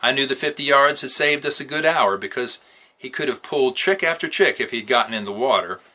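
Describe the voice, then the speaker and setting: Man, storyteller, bright forceful voice, mid pitch, expressive read-aloud narration. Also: brisk. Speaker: storyteller reading a novel aloud.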